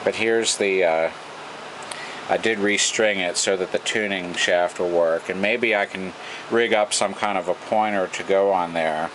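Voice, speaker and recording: An American man giving an informal hands-on demonstration, thinking aloud in a halting way at an indoor workbench. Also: low-pitched. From United States. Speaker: radio repair hobbyist. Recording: noisy room, steady background hum.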